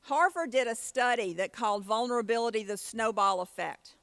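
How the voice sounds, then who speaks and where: Higher-pitched woman giving a keynote. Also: a little fast, fairly bright tone, dry recording. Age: 50s. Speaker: keynote speaker. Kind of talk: motivational conference keynote with personal stories.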